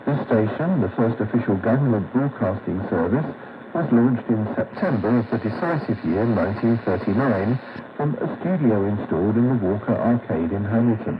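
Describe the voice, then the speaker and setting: A man's voice: on the low side, lively intonation. Male narrator through static radio. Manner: measured, read-aloud delivery. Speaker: radio program presenter. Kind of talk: scripted history narration. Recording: dull shortwave radio reception with static.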